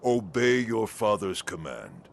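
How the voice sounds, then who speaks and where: An actor playing a stern, scheming old shinobi father in a video game, with a gravelly, theatrical, imperious delivery. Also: lowish voice, wildly swooping pitch, takes it slowly, slight background noise, exaggerated voice. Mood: commanding. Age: older. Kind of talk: dramatic video game voice acting.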